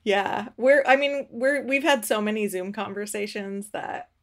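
A woman's voice: mid-range voice, steady tone, unhurried. A woman hosting a podcast, chatting easily and laughing often during an interview over a video call. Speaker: podcast host.

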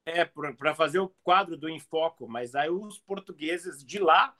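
A middle-aged man talking casually as an interview guest, telling stories in an animated, conversational way. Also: a little high, unhurried. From outside Brazil. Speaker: guest, comedian and TV reporter.

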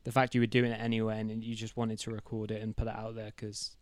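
An easygoing, reserved man leads a relaxed chat with a fellow creator. His voice is smooth and clear. It sits close and dry, with no room sound. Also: on the low side, quick pace, dry recording. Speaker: interviewer, fellow YouTuber. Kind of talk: casual interview chat.

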